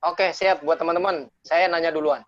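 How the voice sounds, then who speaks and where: A man's voice: on the high side, steady tone, normal speed, no echo. A man hosting an online chat in an informal, chatty, joking way over a video call. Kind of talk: casual chat. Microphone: phone on video call. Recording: dull, dry video-call audio.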